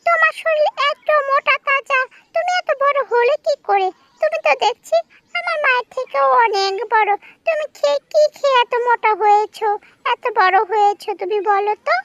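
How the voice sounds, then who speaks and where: A performer voicing a naive, stubborn little goat kid in a children's cartoon, squeaky and childlike, with a wheedling manner. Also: normal speed, fairly bright tone, dull audio. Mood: puzzled, curious. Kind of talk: children's animated story dialogue.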